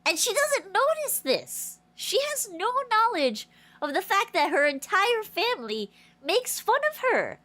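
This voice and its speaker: A woman's voice: very high voice, a little slow. A woman streamer chatting casually and rambling through a story, her voice stuffy and nasal from a blocked nose. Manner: rambling, animated storytelling with many restarts. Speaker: game streamer. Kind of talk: casual livestream chat.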